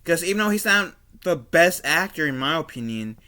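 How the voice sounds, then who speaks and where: A man giving casual opinion commentary as a video host, halting and often repeating and rephrasing himself. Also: a little high, animated, bright, forceful tone. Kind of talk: casual opinion commentary, reading an article aloud.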